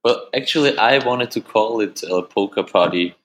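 A man from Austria whose first language is German, speaking English with an Austrian German accent as an interview guest, halting and repeating words, often laughing. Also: fairly low pitch, fairly bright tone, slight background noise. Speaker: musician guest. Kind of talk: casual interview answers.

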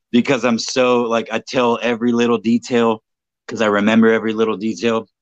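A man's voice: medium pitch, level tone, moderate pace, no room sound, highs cut off. A man co-hosting a livestream, chatting casually, profane and self-mocking, rambling into long stories. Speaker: livestream co-host.

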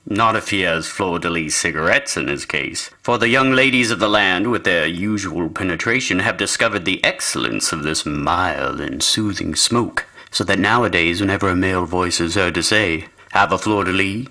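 An actor playing a dramatic, showy host of an old-time radio programme, announcing in 1930s style. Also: male voice, sits low, normal speed, few pauses, pushed and bright. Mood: smooth, selling.

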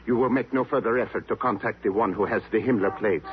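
Low-voiced, polite, menacing henchman acted by a man. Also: slight background noise, close to an everyday voice. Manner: polite, measured, threatening. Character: smooth, menacing henchman posing as courteous messenger. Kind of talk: 1950s radio drama acting. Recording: muffled old radio, loud music.

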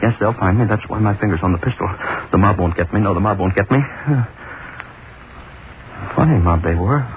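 A man's voice: fairly low pitch, fairly quick, long pauses, natural voice. A man playing a guilt-ridden hangman in an old-time radio drama, intense and repetitive as he unravels into terror. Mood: haunted, paranoid. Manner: intense, repetitive, haunted delivery. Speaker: lead actor and narrator. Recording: noisy, tinny old-time radio recording.

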